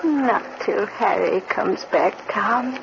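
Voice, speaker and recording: On a noisy old radio broadcast, an elderly mother from a 1940s drama. She speaks very slowly and stubbornly, holding fast to hope for her wayward son. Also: mid-range voice, vocal fry, highs cut off. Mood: stubborn, hopeful. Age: older.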